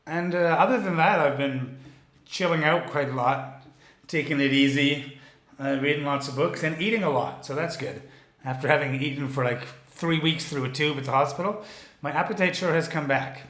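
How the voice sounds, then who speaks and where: A man co-hosting a podcast in casual chat, relaxed and wry, with frequent fillers. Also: fairly high pitch, normal speed, roomy sound. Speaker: podcast co-host.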